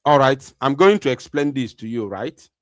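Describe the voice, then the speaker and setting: A man with a Nigerian English accent gives a commentary monologue to his viewers. He talks emphatically, repeats his phrases and often pauses on fillers. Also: medium pitch, lively intonation, dry recording. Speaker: online channel host.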